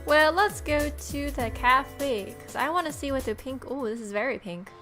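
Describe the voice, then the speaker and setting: A woman's voice: high voice, moderate pace, fairly bright tone. Woman reading aloud over faint music. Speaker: gamer reading a visual novel aloud. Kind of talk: scripted narration with casual asides.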